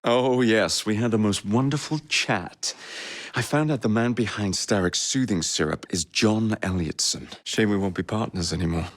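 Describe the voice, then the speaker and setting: A man's voice: fairly low pitch, normal speed, no room sound, lightly acted. A man playing a cocky young Victorian London gang leader in a video game, with an English accent and a cheeky, wry manner. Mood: wry, confident.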